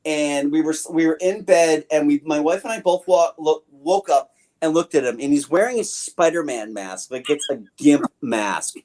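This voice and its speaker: A man's voice: on the high side, normal speed, a little mellow. A middle-aged man hosting a podcast, chatting in an informal, joking way. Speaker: podcast host. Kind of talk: casual podcast chat.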